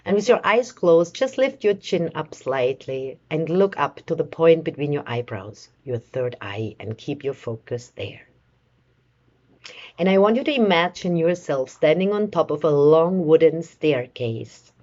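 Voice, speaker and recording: Slow, low-voiced woman guiding meditation. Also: expressive, a little mellow, muffled recording. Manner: soothing, slow, measured, with long pauses between short phrases. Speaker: meditation guide, life coach. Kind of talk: guided meditation.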